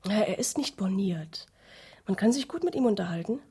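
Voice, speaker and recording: Very breathy, eager friend. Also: female voice, medium pitch, lively intonation, normal speed, mellow. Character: eager, persuasive woman recommending a young man. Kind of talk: German radio drama acting.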